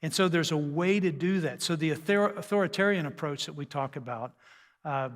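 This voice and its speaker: Older male seminar speaker, conversational and humorous, higher-set voice, big reverberant room. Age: 60s or older. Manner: folksy, conversational, joking, self-deprecating. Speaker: speaker on raising teens, radio host. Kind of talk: humorous seminar talk to a church audience. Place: large church hall, speaking to an audience. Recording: live hall with long reverb.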